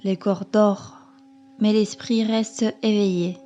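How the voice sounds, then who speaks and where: A woman yoga teacher calmly leading a guided meditation in measured, instructing phrases. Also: mid-range voice, dull audio. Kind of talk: slow guided meditation narration.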